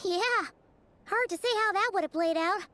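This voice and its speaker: A woman voicing a tiny, excitable, childlike fairy companion in a video game, perky and a little whiny. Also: very high voice, normal speed, slight background noise. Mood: curious. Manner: perky, whiny, speaks of herself in third person.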